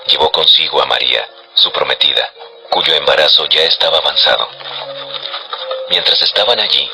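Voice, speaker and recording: A man narrating scripture as a solemn, measured storyteller for a dramatized audio production. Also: rumbling low, gravelly, background noise.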